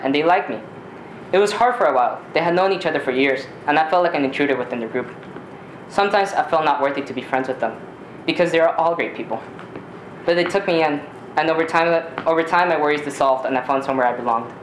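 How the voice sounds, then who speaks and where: A teenage male from Ecuador, a native Spanish speaker, reading a personal story aloud in a measured, deliberate way with occasional stumbles. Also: a little high, a bit bright. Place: small community theater stage. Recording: roomy live stage recording, faint noise.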